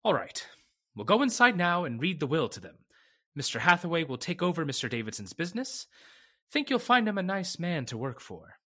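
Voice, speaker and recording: A man playing a grave, confiding lawyer in an old-time radio drama, speaking formally and deliberately. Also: a little high, sing-song, bright, forceful tone, heavily acted. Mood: businesslike. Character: grave, confiding male lawyer settling an estate.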